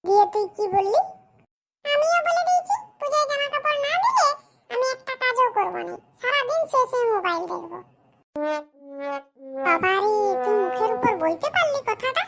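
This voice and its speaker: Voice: extremely high pitch, expressive, moderate pace, vocal fry, fairly bright tone, soft background music, exaggerated voice. A performer voicing a cheeky, grumbling village boy with a rural West Bengal accent in a comedy cartoon. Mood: bantering. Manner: brash, grumbling colloquial banter. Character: cheeky, mischievous poor village boys with squeaky voices.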